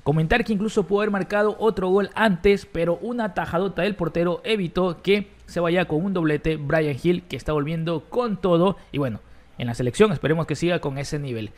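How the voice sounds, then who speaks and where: A man presenting a football news roundup for an online channel, brisk and informative. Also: fairly high pitch. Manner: brisk, reads quotes aloud.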